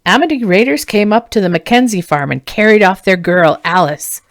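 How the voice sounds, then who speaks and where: A woman's voice: mid-range voice, lively intonation, brisk, dry recording, lightly acted. A woman acts out a middle-aged farmer man in a fantasy storybook. He is flustered and urgent, a messenger with grave news. The recording is dry and close. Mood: grave, excited. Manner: breathless, urgent, solemn.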